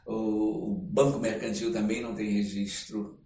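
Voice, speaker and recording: An older man with a Brazilian accent, telling personal anecdotes as an interview guest in a halting, reflective way. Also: lowish voice, little pitch movement, mellow. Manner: halting, reflective, frequent tag questions. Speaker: interview guest, actor and storyteller. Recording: roomy echo, clear background noise.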